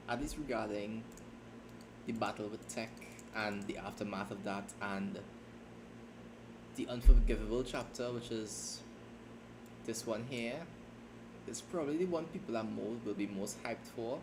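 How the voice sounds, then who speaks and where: A man with a lowish voice talks loosely and self-deprecatingly as he streams his writing session, often stopping to restart a thought. Steady typing sounds clearly from his keyboard underneath him. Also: noisy background. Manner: rambling, halting, self-deprecating. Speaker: streamer and fan fiction writer. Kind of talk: casual livestream chat while writing. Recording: keyboard typing audible behind voice.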